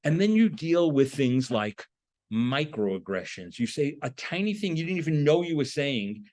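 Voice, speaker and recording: A man lecturing in an explanatory, emphatic manner, posing rhetorical questions as he goes. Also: on the high side. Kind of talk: lecture on a political topic.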